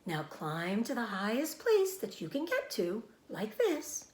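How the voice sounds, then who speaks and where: A woman voicing a patient, precise female spider who instructs softly and deliberately in a children's storybook. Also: a little high, wildly swooping pitch, roomy sound, pitched above the performer's own voice. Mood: patient, coaching.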